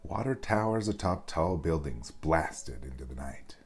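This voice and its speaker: A man narrating a children's bedtime story as a reader, with expressive, dramatic storytelling. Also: extremely low pitch, measured pace, slightly rough, soft background music.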